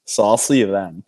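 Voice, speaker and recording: A man's voice: fairly low pitch, darkish tone, low-quality recording. A man from New England, an amateur angler, giving short, laid-back answers in a casual interview. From New England, near Massachusetts. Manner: laid-back, brief answers, chuckles often. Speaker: amateur tournament angler, guest.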